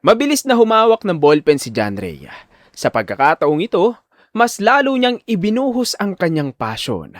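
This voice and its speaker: A man's voice: high-pitched, wildly swooping pitch, moderate pace, dry recording, studio quality. A man narrating a scripted audio drama as a dramatic storyteller.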